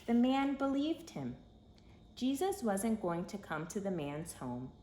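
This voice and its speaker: Female storyteller for kids' read-aloud: expressive, emphatic, unhurried. Echoey room, slight background noise. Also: mid-range voice.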